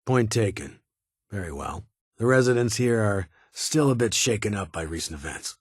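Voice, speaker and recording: An actor playing a stern, weary security chief in a video game, with a husky voice and a formal, clipped, authoritative manner. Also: male voice, fairly low pitch, expressive, normal speed, no echo, studio quality. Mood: formal, businesslike. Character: stern, weary male vault security chief, guarded but honorable.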